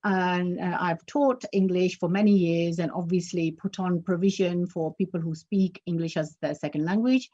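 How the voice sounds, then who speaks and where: Earnest woman poet, halting speech. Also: on the low side, fairly even intonation, mellow, lo-fi sound. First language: Urdu. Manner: halting, with many restarts, earnest. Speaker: poet and teacher. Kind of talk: poetry reading and stage interview.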